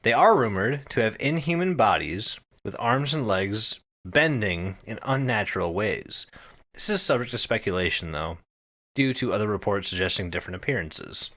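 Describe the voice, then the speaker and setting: Man, bright forceful tone, animated, casual podcast co-host. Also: lowish voice, normal speed. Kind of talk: casual podcast talk with read-out descriptions.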